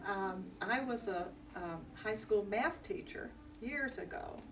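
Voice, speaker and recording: A woman's voice: mid-range voice, slow, recorded from a distance, background noise. An older retired woman who volunteers as a tutor, speaking modestly and plainly.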